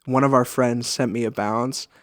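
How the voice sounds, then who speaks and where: A man's voice: mid-range voice, fairly even intonation, moderate pace. A man co-hosting a podcast, chatting informally and making his points emphatically. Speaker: podcast host. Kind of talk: casual podcast chat.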